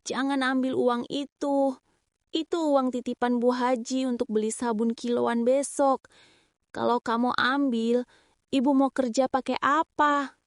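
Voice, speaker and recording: A woman's voice: high-pitched, fairly even intonation, a little slow, dry recording, studio quality. A woman playing a poor, long-suffering mother in a cartoon drama, earnest and pleading. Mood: pleading, firm.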